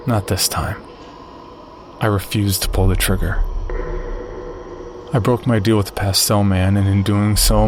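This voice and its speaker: A man reading a scripted first-person horror story in a somber, measured way. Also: fairly low pitch, slight background noise.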